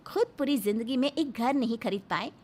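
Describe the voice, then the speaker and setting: Here is a woman acting as an indignant woman of an Indian household. Her voice is high and assertive, delivering dramatic storybook dialogue that stands its ground. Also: lively intonation, fairly quick, darkish tone. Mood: angry, defiant. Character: defiant, indignant women of an Indian family.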